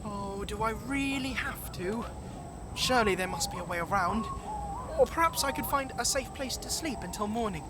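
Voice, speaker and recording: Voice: medium pitch, animated, normal speed, noisy background, close to an everyday voice. An actor voicing a timid young male animal hero in a storybook audio drama, fretful and anxious but plucky, with old-fashioned children's-book diction. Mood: anxious reluctance.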